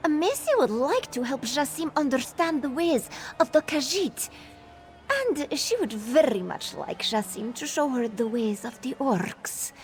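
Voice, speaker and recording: A woman playing a gentle, hopeful cat-folk caravan guard in a video game, with formal phrasing. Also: sits high, exaggerated voice. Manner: speaks of herself in third person, formal phrasing. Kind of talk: scripted game dialogue, AI-voiced.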